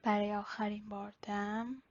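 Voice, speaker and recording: A woman leading a guided body-scan meditation in a slow, instructional way with pauses. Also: mid-range voice, flat delivery, darkish tone. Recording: slightly dull, lo-fi recording.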